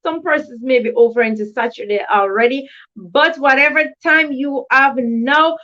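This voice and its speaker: A woman with a fairly high voice hosts a livestream, welcoming viewers effusively and often repeating herself. The audio is slightly dull and lo-fi. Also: moderate pace, pushed and bright. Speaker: livestream host of an educational channel. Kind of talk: livestream greeting of chat viewers.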